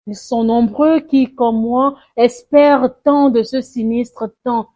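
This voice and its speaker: A woman recites a poem dramatically, in a solemn, mournful and deliberate way, swelling and hushing her phrases. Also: on the high side, fairly even intonation. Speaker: poetry reciter. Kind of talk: dramatic spoken-word poetry recitation.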